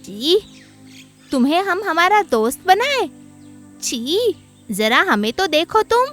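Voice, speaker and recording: A woman's voice: very high-pitched, sing-song, slow, fairly bright tone, soft background music, voice pushed up, exaggerated voice. A woman voicing a vain, contemptuous female fish in a children's cartoon story. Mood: mocking. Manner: contemptuous, haughty. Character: vain, mocking female fish, proud of her beauty. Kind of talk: Hindi children's animated story acting.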